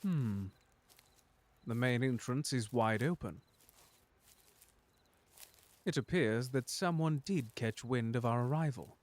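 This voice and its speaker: A man's voice: mid-range voice, animated, slow, pauses often. A man playing a suave, unflappable butler in an ASMR audio drama, speaking formally with dry courtesy and a protective streak. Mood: curious, watchful. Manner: formal, polite, dryly sardonic. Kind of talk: ASMR audio drama roleplay addressed to the listener.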